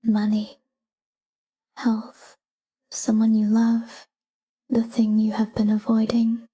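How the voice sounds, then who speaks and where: A woman guiding a sleep meditation in a calm, hushed, breathy whisper, soothing and soft. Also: a little high, slow pace.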